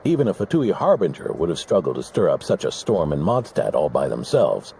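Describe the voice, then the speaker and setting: A gravelly old knight. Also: male voice, very deep voice, wildly swooping pitch, dark tone, slight background noise, exaggerated voice. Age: older. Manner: measured, storytelling gravity. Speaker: game character.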